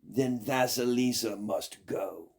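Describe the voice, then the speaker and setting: A man's voice: mid-range voice, slow pace, vocal fry, darkish tone, husky, exaggerated voice. Man putting on a petulant, whining young stepsister. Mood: petulant, smug. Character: selfish, whining young stepsisters in a fairy tale.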